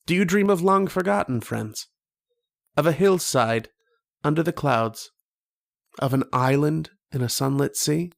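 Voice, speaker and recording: A male actor as a haunted, wistful man recounting his dream in a radio horror play. He speaks slowly and poetically, with brooding pauses and a creaky edge, in a dry, close recording. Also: fairly high pitch, expressive, dry recording. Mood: yearning, intimate. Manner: slow, poetic, brooding delivery with long pauses. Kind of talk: dramatic first-person radio horror narration.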